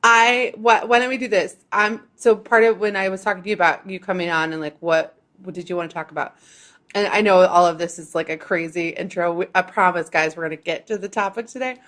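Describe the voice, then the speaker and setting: Chatty woman podcast host, lowish voice. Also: steady tone, fairly bright tone. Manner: chatty, quick, laughs between phrases. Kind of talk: casual podcast conversation.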